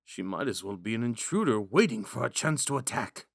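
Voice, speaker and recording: A man playing a gentle, smitten young prince in a romantic audio roleplay, musing and hesitant in a courteous way. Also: mid-range voice, animated, brisk, gravelly, no room sound, clean studio sound. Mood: wistful musing. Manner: musing, hesitant, courteous, with sighs and whispered asides.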